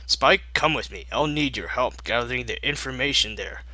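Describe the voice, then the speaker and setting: An eager, flustered young mare of a storybook scholar, acted out by a man. Her voice is very sharp and forward, recorded close and dry. She speaks hesitantly and apologetically. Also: mid-range voice, lively intonation, moderate pace, dry recording. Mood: apologetic.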